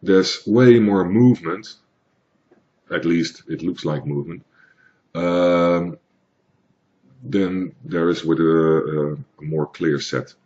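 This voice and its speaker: A man from the Netherlands with a Dutch accent, explaining software in a hesitant, plain tutorial with many fillers. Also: low-pitched, takes it slowly, pauses often, highs cut off. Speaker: tutorial maker. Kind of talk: screen-recorded software tutorial.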